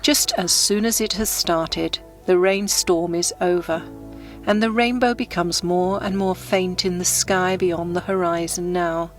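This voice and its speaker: Woman, lowish voice, guided meditation narration, gentle unhurried storytelling, soft background music. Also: fairly even intonation.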